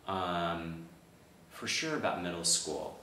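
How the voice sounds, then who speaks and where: A man's voice: low voice, lively intonation, takes it slowly, sharp tone, roomy sound, recorded from a distance, a little hiss or hum, rough recording. A man in his forties, hesitant and thinking aloud in a documentary interview. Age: 40s.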